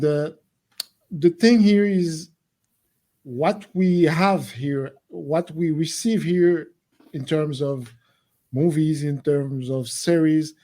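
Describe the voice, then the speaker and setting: A man from Haiti on a video-call panel, speaking in a measured, deliberate way. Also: fairly high pitch, mellow. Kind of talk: panel discussion.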